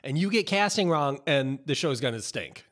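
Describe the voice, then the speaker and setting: A man's voice: fairly high pitch, lively intonation, normal speed, a bit bright. A middle-aged man co-hosting a podcast, chatting casually into a close mic in a home office. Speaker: podcast co-host. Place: home office, video call. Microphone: podcast mic on arm, close.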